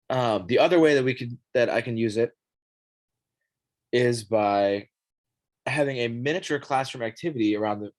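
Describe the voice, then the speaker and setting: A young man, a student teacher, presenting an app for the classroom in a halting way, his voice scratchy as he clears his throat and coughs. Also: medium pitch. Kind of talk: informal classroom tech presentation.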